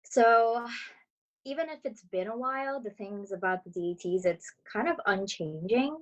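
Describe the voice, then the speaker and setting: A woman in her twenties, born in Brazil and raised in Florida, giving relaxed, chatty interview answers as a dental student over a video call. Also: mid-range voice, moderate pace, highs cut off. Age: 20s. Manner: chatty, relaxed, many fillers.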